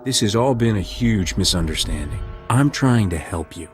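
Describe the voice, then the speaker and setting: An actor playing a wry, cocky smuggler captain with a flippant way of talking in a video game. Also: male voice, on the low side, expressive, moderate pace, a little mellow, lightly acted. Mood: wry, breezy. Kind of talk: video game character acting. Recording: music under the voice.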